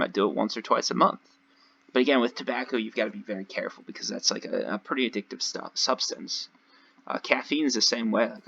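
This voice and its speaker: A man with a slightly hoarse voice gives casual, rambling gameplay commentary, talking directly to his viewers in a conversational way. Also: on the low side, bright, forceful tone, highs cut off. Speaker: gaming video creator. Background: voice-over recorded over gameplay.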